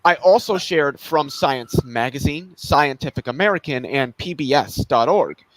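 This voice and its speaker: A man's voice: mid-range voice, lively intonation, measured pace, no echo, low-quality recording. A man in a heated casual chat, talking bluntly and swearing, indignant about a debate opponent. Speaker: co-host of a debate review stream.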